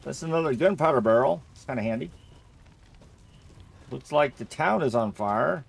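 A man's voice: mid-range voice, expressive, normal speed, vocal fry. A man giving casual video game playthrough commentary, jokey and thinking aloud as he goes.

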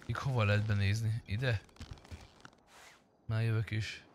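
A man's voice: on the low side, flat delivery, slight background noise. A man in casual gaming chat, talking bluntly and sarcastically and swearing freely. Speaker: gamer streaming a roleplay game.

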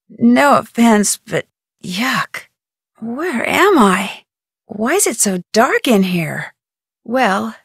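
An actress voicing a polite young woman detective in a video game, hesitant and unhurried. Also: fairly high pitch, gravelly, husky, no echo, heavily acted. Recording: dry studio voice-over.

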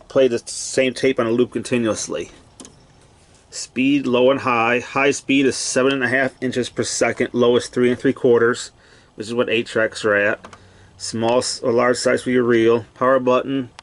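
A man with a mid-pitched voice demonstrates equipment in a casual, hands-on way. He speaks in terse, clipped phrases and keeps it matter-of-fact. It is recorded at home on a handheld camera. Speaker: gadget demonstrator. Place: indoors, cluttered home room.

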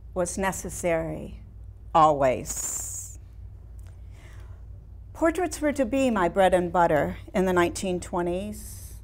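A woman in her sixties with an American accent performing a staged monologue as a wistful, reflective older painter looking back in measured, nostalgic phrases. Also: mid-range voice, a little mellow. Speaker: playwright performing a staged reading. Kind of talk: theatrical monologue reading. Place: small studio room with seated audience.

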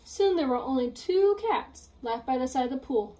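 A woman's voice: high voice, lively intonation, normal speed, warm tone. A young adult woman reading a picture book aloud to children in a teacherly role. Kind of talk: storybook read-aloud. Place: small room at home, indoors.

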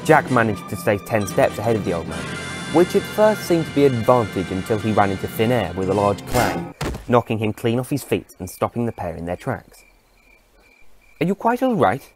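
A man's voice: lowish voice, animated, a little fast, over music. A man narrating a scripted adventure story as its storyteller.

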